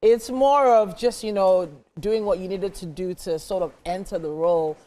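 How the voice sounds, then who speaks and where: A woman's voice: mid-range voice, normal speed, mellow. Encouraging woman host. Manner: encouraging, with frequent short acknowledgements. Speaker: TV morning show host. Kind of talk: TV interview.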